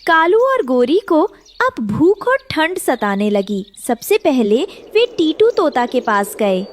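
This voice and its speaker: High, slow-paced woman storyteller. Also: big pitch swings. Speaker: narrator. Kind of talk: scripted story narration. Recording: clear background noise.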